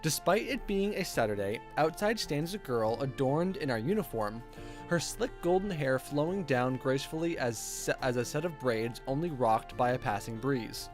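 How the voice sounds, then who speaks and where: A man's voice: medium pitch, expressive, normal speed. A man streaming a visual novel, reading its narration aloud and adding casual commentary. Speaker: game streamer. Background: game music.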